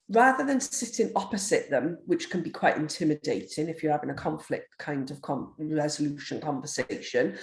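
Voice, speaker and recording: A woman with a deep, gruff voice, a nurse educator giving a recorded online lecture in a forthright, quick manner with frequent ums. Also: a little mellow. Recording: roomy echo.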